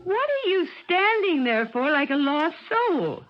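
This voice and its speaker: An actress playing a fussy, affectionate but sharp-tongued middle-aged American mother in a radio drama, chiding and wry. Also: very high voice, big pitch swings, a little slow, exaggerated voice. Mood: exasperated, chiding. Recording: muffled old radio broadcast.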